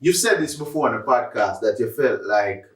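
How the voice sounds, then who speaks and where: A man's voice: mid-range voice, lively intonation, normal speed. A man with a Jamaican accent co-hosting a casual discussion, often posing questions and chipping in. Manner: questioning, interjecting. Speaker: podcast host. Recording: echoey room, distant from mic.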